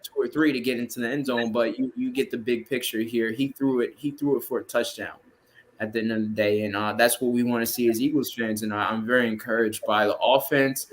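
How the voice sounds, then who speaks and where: A man's voice: medium pitch, fairly even intonation, brisk, a little hiss or hum. A man who is a podcast guest, talking casually about football with many hesitant restarts. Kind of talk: casual sports chat.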